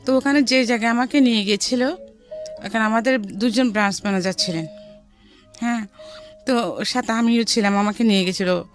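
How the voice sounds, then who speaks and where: A woman's voice: on the high side, a little fast, long pauses. A middle-aged woman with a Bangladeshi accent telling her life story in a conversational way, mixing in some English words. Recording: music behind the voice.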